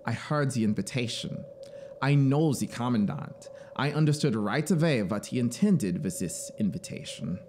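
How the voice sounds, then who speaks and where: A man's voice: medium pitch, lively intonation, moderate pace, a little mellow, a little hiss or hum, lightly acted. A man playing a zealous, scheming penal colony officer in a story, emphatic and persuasive. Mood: confiding, suspicious. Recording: clear background synthesizer ambience.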